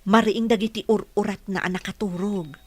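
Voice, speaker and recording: An actress with a Filipino Ilocano accent playing an earnest, caring young caregiver in a radio drama. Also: female voice, medium pitch, steady tone, normal speed, darkish tone, dry recording, natural voice. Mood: earnest, worried.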